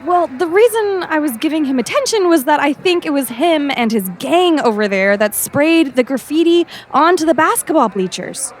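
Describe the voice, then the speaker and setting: A woman's voice: high voice, expressive, normal speed, hardly any pauses. A woman playing an earnest, persistent teenage girl student reporter in an audio drama. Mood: earnest, concerned. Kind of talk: scripted audio drama acting.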